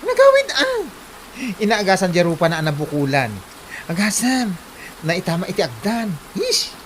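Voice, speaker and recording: A woman's voice: medium pitch, wildly swooping pitch, normal speed, slight background noise. A performer, heard as a woman, acting a mocking, scolding relative in an Ilocano comedy drama, taunting and exclamatory with an Ilocano accent.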